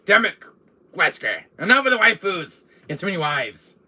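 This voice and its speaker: A man playing an exasperated, easily horrified action hero with loud, melodramatic delivery. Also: on the high side, huge pitch swings, fast talker, distant mic, full character voice.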